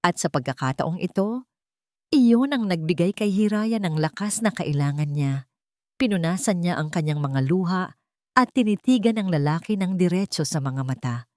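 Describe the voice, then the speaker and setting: A woman's voice: fairly low pitch, expressive, moderate pace, darkish tone, dry recording, clean studio sound. A woman narrating a scripted dramatic story as its storyteller.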